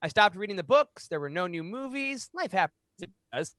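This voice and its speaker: Man, thirties, high-pitched, podcast host, animated delivery, close mic, dry sound. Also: a little fast, no echo. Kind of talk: reading aloud and hosting a fan podcast. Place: small home room, indoors. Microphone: close studio microphone on boom arm.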